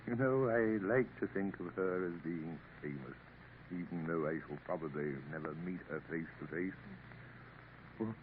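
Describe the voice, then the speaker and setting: An actor playing a gentle, weary older father in a 1950s radio drama, soft-spoken and wistful. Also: sits low, normal speed, lightly acted. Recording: tinny old radio broadcast, faint hiss.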